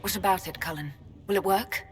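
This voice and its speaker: An actress playing a defiant, resolute heroine in a fantasy game, speaking directly and urgently. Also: female voice, mid-range voice, fairly quick, big-room sound, natural voice. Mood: questioning. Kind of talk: fantasy video game acting.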